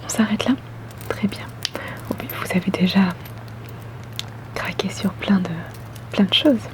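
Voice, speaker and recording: Woman doing asmr shop assistant roleplay, soft breathy whisper, gentle and polite, takes her time. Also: medium pitch. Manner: gentle, polite, unhurried, attentive to the listener. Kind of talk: ASMR roleplay, customer service.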